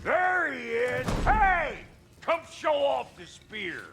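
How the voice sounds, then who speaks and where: An actor playing a boisterous, rough-spoken craftsman in a video game, calling out excitedly. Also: extremely high pitch, slow pace, music in the background, heavily acted. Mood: eager. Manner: shouting, excited. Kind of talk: cinematic video game acting.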